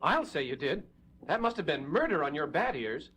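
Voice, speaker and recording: An actor playing an eager, excitable teenage sidekick in a 1970s superhero audio drama, earnest and exclaiming. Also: male voice, a little high, normal speed, husky, heavily acted. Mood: curious.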